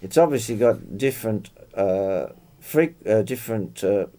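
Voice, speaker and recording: A man chatting casually about technical matters as a club member, explaining details in a halting way. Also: fairly low pitch, animated, warm tone. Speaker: club member discussing radio gear.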